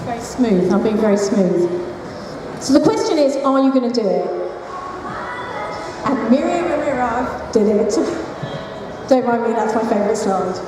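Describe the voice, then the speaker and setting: A woman's voice: fairly high pitch, expressive, moderate pace, long pauses. A woman gives a chatty conference presentation, with self-deprecating jokes and asides. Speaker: founder of a support group for single mothers. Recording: echoey hall, mic heard from a distance, noisy.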